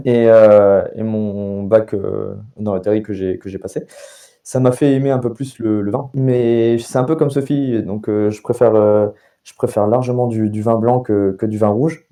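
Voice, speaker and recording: Young man, very dark voice, lowish pitch, halting, repeats words, casual podcast guest. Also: steady tone. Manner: rambling, frequent hesitations and repeated words. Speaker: guest bartender and sommelier.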